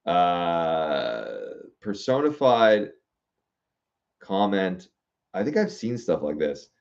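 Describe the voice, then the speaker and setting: A man's voice: low-pitched, big pitch swings, a little mellow, highs cut off. A young man hosting a casual livestream, reading out viewer suggestions and thinking aloud with fillers and restarts. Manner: musing aloud, reading comments, halting with fillers.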